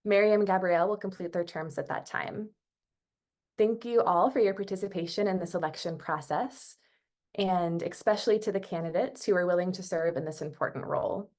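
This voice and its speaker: A woman's voice: lowish voice, fairly quick, darkish tone. Formal woman reading meeting announcements. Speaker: working group coordinator giving updates. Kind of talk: scripted announcements on a conference call. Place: online conference call.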